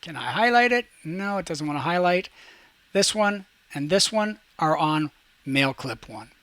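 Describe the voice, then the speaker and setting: Male instructor, fairly high voice, very dry recording. Casual step-by-step software walkthrough for students. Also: expressive, normal speed, no room sound. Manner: talks through each step while demonstrating, checks in with "okay". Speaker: teacher.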